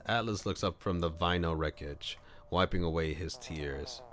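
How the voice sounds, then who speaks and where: A man's voice: sits low, moderate pace, fairly bright tone. A man reading a visual novel aloud on a livestream, moving between narration and casual commentary.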